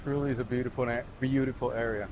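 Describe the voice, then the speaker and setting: This is a man with an average-pitched voice conducting an easygoing interview on a property tour. He responds appreciatively in a casual way. A faint outdoor hum sits behind him. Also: steady tone, fast talker. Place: walking outdoors on a city street.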